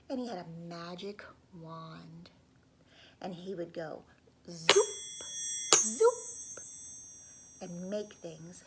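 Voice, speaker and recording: A woman reading a folk tale aloud to children, pausing to ask them questions and dropping into whispers. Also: on the low side, vocal fry, background noise. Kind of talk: children's read-aloud storytelling.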